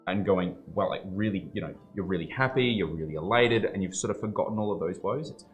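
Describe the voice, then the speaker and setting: A man's voice: deep voice, fast, faint music behind. A man hosting a casual podcast interview, talking in a rambling, emphatic way with lots of fillers and swearing. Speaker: podcast host.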